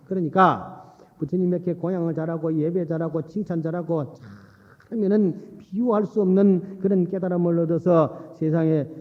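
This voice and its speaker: A man, a Buddhist monk, giving a sermon in a folksy explaining way, repeating phrases for emphasis and putting rhetorical questions to his listeners. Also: on the high side. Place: large hall at a temple dharma assembly. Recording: reverberant hall, dull muffled sound.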